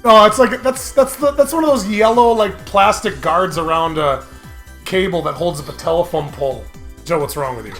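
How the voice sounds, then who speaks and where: A man's voice: high-pitched, moderate pace. Jokey young man over game music. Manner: jokey, animated storytelling prone to laughter. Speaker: gaming show host. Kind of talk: casual let's-play commentary with dramatic read-alouds.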